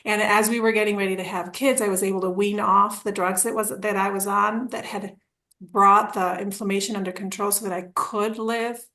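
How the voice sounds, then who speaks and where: A woman's voice: medium pitch, steady tone, normal speed, bright, forceful tone. A woman in her forties from Argentina, a podcast guest telling her story in a rambling, casual way. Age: 40s. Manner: rambling, restarts, acts out quoted dialogue with laughs.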